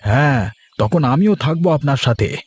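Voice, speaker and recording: A man's voice: medium pitch, animated, moderate pace, husky, no room sound, voice pushed up. A man voicing a loyal, earnest male servant in a cartoon. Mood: earnest pleading. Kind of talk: Bengali cartoon dubbing.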